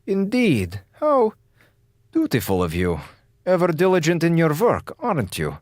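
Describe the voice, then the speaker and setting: An actor in a radio drama playing a menacing, coldly patient space station doctor, formal, deliberate and condescending. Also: male voice, a little high, wildly swooping pitch, a little mellow, no echo, clean studio sound. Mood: suspicious, sardonic.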